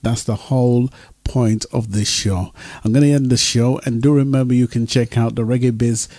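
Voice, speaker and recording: Mellow, dry-voiced male talk show host. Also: medium pitch, steady tone, normal speed, no room sound. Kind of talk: casual talk show sign-off.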